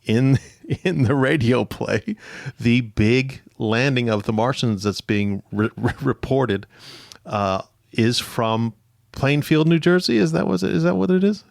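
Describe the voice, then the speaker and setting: A man's voice: medium pitch, unhurried, vocal fry, no room sound, clean studio sound. A man hosting a history podcast, asking questions and chatting conversationally with guests. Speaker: podcast host. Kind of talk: conversational interview on a history show.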